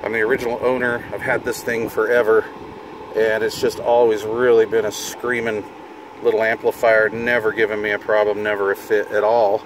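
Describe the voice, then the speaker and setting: A man's voice: on the low side, flat delivery, moderate pace. A middle-aged man giving a casual hands-on demonstration as a hobbyist video host, informal and half talking to himself. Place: inside a parked vehicle. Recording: noticeable car and fan noise.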